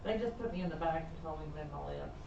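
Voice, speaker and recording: A woman's voice: deep voice, very fast, warm tone, a little hiss or hum. Joking woman student, far off in a room. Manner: joking, self-deprecating. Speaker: workshop student. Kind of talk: casual chat. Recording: roomy, far from the mic, dull.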